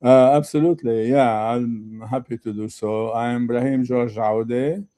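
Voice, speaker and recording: Older man, from Lebanon, smooth resonant voice, halting pauses, conference interview. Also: mid-range voice, steady tone, dark tone. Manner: halting, many fillers. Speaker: guest speaker, retired professor.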